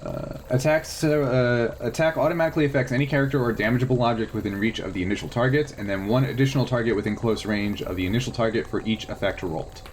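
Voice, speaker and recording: A man's voice: mid-range voice, quick pace, hardly any pauses, slight background noise. A man acting as game master, talking casually through a tabletop role-playing game in a jokey, easygoing way.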